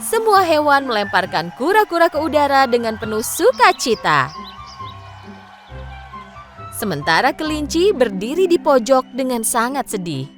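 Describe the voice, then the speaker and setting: A woman narrating a children's cartoon story in an expressive, sing-song storytelling manner. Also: high-pitched, moderate pace. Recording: faint music behind. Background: faint background music.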